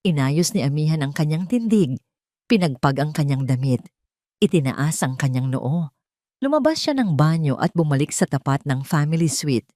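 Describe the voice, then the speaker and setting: Woman narrator, low voice, very expressive swings, dry close sound, dramatic storytelling. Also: normal speed, no echo.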